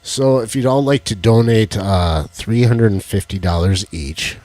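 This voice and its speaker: A man with a deep voice, co-hosting a casual live stream and joking as he fiddles with his tech. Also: a little slow, a little mellow, no echo. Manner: rambling, jokey, fiddles with tech while talking. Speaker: co-host of a live stream show. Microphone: headset with headphones.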